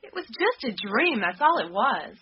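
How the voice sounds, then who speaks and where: A woman's voice: fairly high pitch, big pitch swings, moderate pace, husky, full character voice. An actress playing a defiant, skeptical young woman in a radio drama, with a blunt, exasperated, sardonic manner. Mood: defensive. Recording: muffled radio recording with clicks and hiss.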